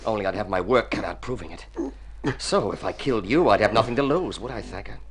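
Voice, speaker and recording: An actor playing a young Texan outlaw in a radio drama, with a terse, menacing, resolute manner. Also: male voice, lowish voice, expressive, fast talker, crowd noise, close to an everyday voice. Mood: threatening. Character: gruff young Texan outlaw posing as a long-lost son.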